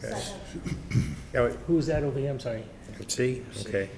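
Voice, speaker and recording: Gravelly-voiced man chairing a town meeting. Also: mid-range voice, fairly quick, darkish tone. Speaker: committee chair. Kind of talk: running a town finance committee meeting. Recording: public access meeting recording with faint noise.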